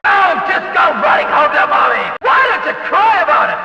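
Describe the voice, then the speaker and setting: An actor playing a rowdy, sarcastic race announcer in a video game, calling hits in excited, mocking, exclamatory sports commentary. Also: male voice, very high-pitched, fairly quick, creaky, heavy reverb, long reverb tail, far from the mic, highs cut off, a faint crowd, heavily acted. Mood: exasperated, scolding.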